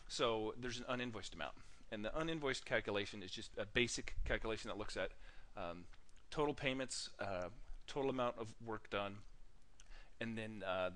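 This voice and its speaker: A man narrating a software tutorial in a casual, informal way, halting often with ums and uhs as he demonstrates. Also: fairly low pitch.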